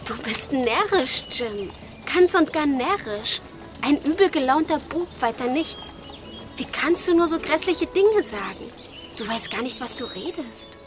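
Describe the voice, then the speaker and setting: An actress playing a dreamy, lovestruck young sister with a gently teasing manner in a radio play. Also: female voice, high-pitched, expressive, unhurried, over music. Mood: teasing, chiding. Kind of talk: German radio play acting.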